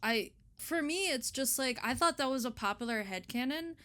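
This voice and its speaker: Bright-voiced young woman chatting casually. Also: high-pitched, normal speed. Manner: animated, slangy, swears freely. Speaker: interviewee artist. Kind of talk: casual chat.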